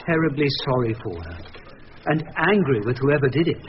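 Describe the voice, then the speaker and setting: An actor as an unperturbed defendant, noisy recording. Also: male voice, medium pitch, very animated, normal speed, close to an everyday voice. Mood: subdued, candid. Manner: restrained, matter-of-fact. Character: unperturbed, plain-spoken male defendant in the witness box. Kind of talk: radio drama acting. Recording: muffled recording with clear background noise.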